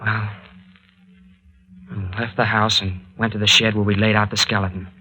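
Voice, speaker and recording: An actor playing a protective, rattled young man in a 1940s radio serial, earnest and halting in his delivery. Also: lowish voice, low-quality recording. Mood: grave, suspenseful. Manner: earnest, halting, soothing, then excitable. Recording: vintage 1940s radio recording.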